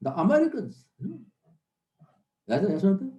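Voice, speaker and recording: A man's voice: high-pitched, huge pitch swings, moderate pace, warm tone. A middle-aged man, giving an earnest classroom lecture as a military instructor and repeating key points for emphasis. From Nepal. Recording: roomy echo.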